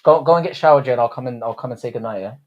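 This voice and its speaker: A man's voice: mid-range voice, rapid-fire, lo-fi sound. An Irish-born man with a South London accent, chatting casually with his viewers while hosting a livestream and swearing freely. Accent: South London English. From Ireland. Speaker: livestream host. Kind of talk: casual livestream chat reading viewer comments.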